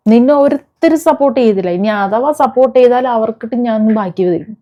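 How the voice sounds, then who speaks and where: A woman's voice: a little high, lively intonation, quick pace, warm tone. A woman delivering an impassioned, confrontational vlog rant, scolding someone with repeated rhetorical questions. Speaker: vlogger. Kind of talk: impassioned rant addressed to an absent person.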